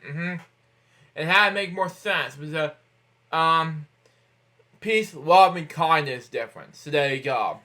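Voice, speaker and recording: Higher-pitched man reading aloud informally. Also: sharp tone. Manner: halting, repetitive delivery with frequent interjections. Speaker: host of a bedtime story read-aloud series. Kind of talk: informal picture-book read-aloud with asides.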